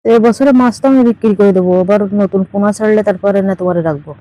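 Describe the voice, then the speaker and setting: A woman's voice: mid-range voice, rapid-fire, mellow, no echo, close to an everyday voice. A woman with a Bangladeshi accent playing a teasing, demanding older aunt in an improvised phone-call drama. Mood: matter-of-fact. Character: teasing, demanding older aunt who owns a fish farm.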